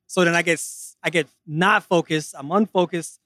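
High-voiced, upbeat male host. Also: steady tone, moderate pace, pushed and bright, no room sound, studio quality. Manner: upbeat, hype-driven. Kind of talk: energetic interview hosting. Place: outdoors at a loud racetrack.

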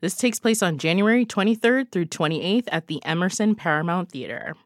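A woman's voice: lowish voice, expressive, fairly quick, dry recording, clean studio sound. A woman guest on a radio podcast, recommending events in an upbeat way and laughing often. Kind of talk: casual radio chat.

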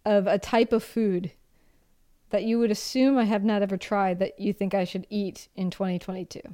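Mellow, smooth-voiced woman co-host. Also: mid-range voice, fairly even intonation, moderate pace. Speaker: podcast co-host. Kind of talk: casual chat.

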